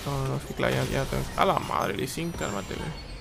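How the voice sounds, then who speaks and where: Gravelly young man streaming gameplay. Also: mid-range voice, fairly even intonation, brisk. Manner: chatty, reads chat aloud, swings into loud exclaims. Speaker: streamer playing a strategy game. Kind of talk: casual live gameplay commentary. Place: small room at home. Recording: game music behind the voice.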